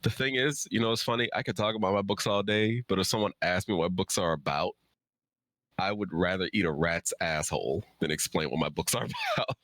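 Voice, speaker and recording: A man's voice: low-pitched, a little fast, fairly bright tone, no echo. A man speaking as an author guesting on a live stream, chatting casually and candidly, with emphatic repetition. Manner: candid, emphatic, repeats himself. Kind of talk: casual chat.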